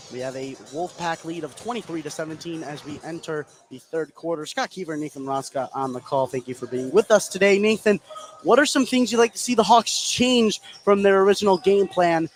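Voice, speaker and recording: A man's voice: high-pitched, fairly quick, faint music behind. A man working as a play-by-play announcer, giving live basketball commentary on the radio. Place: indoor high school gymnasium. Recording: clear venue noise behind the voice.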